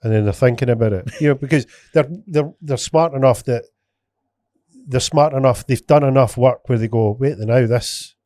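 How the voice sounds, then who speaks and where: A man's voice: mid-range voice, normal speed, dark tone, no echo. A man with a Scottish accent hosting a casual podcast, rambling with lots of restarts, swearing and bantering. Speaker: podcast host.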